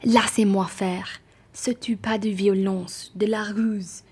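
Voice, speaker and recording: A young woman playing a scheming, diplomatic genteel traveller in a French radio play, measured and insinuating. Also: medium pitch, fairly even intonation, darkish tone, husky.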